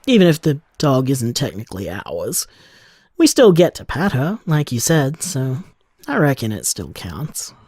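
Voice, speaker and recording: An actor playing a tender, contented bar owner in love, speaking reflectively in an audio drama voicemail. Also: male voice, medium pitch, huge pitch swings, a little slow, breathy edge, no echo, studio quality, exaggerated voice. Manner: soft-hearted, reflective, conversational.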